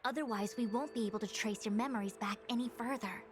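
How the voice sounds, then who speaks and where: A lofty, composed diviner, played by a woman, with a fairly high voice. She speaks in formal, measured sentences with a stern edge, as in an anime game, over soft music. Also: natural voice. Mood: stern, explaining. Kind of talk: anime-style game voice acting. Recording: music behind the voice.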